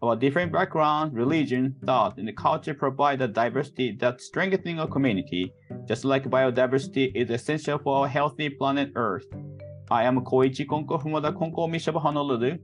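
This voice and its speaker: A man's voice: medium pitch, fairly quick, soft background music. A middle-aged man from Japan with a Japanese accent, a minister hosting a casual faith podcast with careful, simple phrasing. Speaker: head minister and podcast host.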